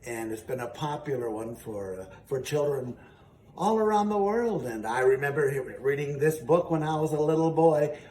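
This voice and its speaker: A man's voice: fairly high pitch, normal speed, a little mellow. A man hosting a children's storytime as a ventriloquist, narrating and explaining in a folksy, patient way. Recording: echoey room, distant mic, faint noise.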